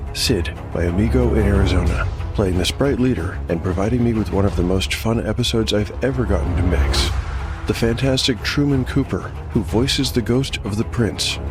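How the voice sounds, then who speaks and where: A man with a low voice reads as narrator and host of a fantasy audio show. His delivery is measured and articulate, with music clearly underneath. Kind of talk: scripted dark fantasy narration.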